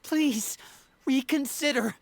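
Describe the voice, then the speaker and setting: A woman's voice: sits high, slow, vocal fry, exaggerated voice. A woman voicing an earnest, dutiful young man in an anime game, speaking politely and pleadingly.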